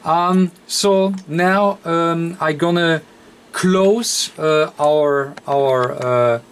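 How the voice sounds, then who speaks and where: Slow, halting man giving repair tutorial. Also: on the high side. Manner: halting, hesitant, frequent long hesitations. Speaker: radio repair technician demonstrating. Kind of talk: unscripted hands-on repair tutorial. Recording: faint background noise.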